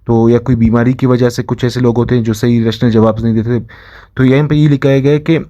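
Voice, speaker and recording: Male lecturer, Pakistani accent, dark relaxed tone, halting explanatory delivery, dull lo-fi recording. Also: medium pitch. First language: Urdu. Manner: halting, often rephrasing mid-sentence. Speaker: law lecturer. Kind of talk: explanatory lesson, reading statutes aloud and explaining them.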